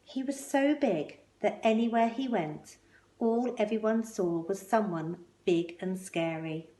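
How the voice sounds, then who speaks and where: A woman reads a children's storybook aloud at a slow pace, in a roomy, echoing space. Her delivery is expressive and emphatic, with pointed pauses between phrases. Her pitch sits in the middle range for a woman. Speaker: storyteller reading a picture book aloud. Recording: roomy, echoing indoor sound.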